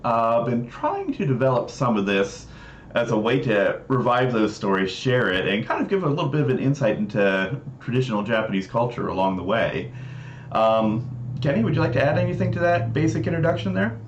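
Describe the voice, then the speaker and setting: A man hosting a livestream, explaining things casually in a halting, hesitant way. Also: mid-range voice, big pitch swings. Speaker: livestream host. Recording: roomy echo, dull mic, noisy background.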